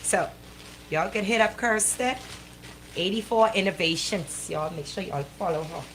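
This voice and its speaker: A woman with a Bahamian accent hosting a live radio show with casual banter, talking in a playful, animated, colloquial way. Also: medium pitch, normal speed, slight background noise, rough recording.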